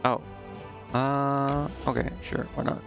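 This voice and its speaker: Gravelly-voiced man muttering through a game. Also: medium pitch, level tone, slow pace. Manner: indecisive, muttering to himself. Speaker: game streamer. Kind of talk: casual gameplay commentary. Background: game music.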